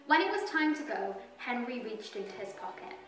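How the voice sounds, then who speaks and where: Female children's storyteller, higher register, expressive deliberate narration, roomy sound. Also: distant mic. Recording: roomy echo, music behind. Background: soft music.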